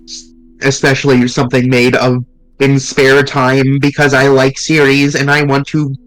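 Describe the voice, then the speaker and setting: A man's voice: medium pitch, monotone, a bit bright, no echo, poor audio. A man co-hosting a game stream, mostly answering with short agreeing replies. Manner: slow, brief agreeing replies. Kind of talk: casual gaming stream chat.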